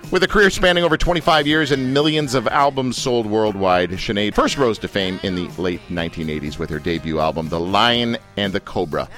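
A man's voice: on the low side, a little fast, few pauses, a bit bright, faint music behind. A man hosting a radio interview, chatty and self-deprecating, who laughs often. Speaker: radio host.

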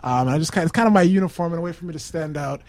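A soft-spoken man in his thirties, a musician guest, talking with hesitant restarts in a casual interview. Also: fairly high pitch, racing, no echo.

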